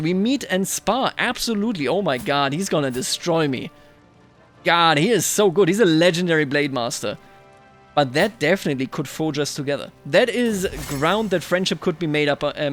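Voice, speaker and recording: A man with a slightly high voice hosts a strategy game roleplay video. He thinks out loud as he plays and slips into theatrical address to the game's characters. Music plays softly behind his commentary. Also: animated, moderate pace. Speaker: gaming video host.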